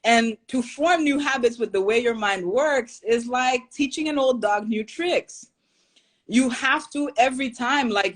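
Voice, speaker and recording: A young adult woman from Haiti, a podcast guest, talking in an earnest, reflective way and laughing easily. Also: high-pitched. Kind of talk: casual interview talk.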